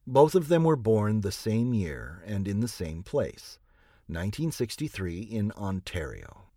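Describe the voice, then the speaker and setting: Low, husky male audiobook narrator. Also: very animated, a little slow, warm tone, no room sound, clean studio sound.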